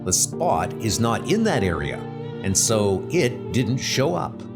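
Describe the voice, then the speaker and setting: A man playing an earnest, grimly determined archer superhero in a comic book dub, with deliberate, dramatic delivery. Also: on the low side, sing-song, full character voice. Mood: grim resolve. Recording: loud music under the voice.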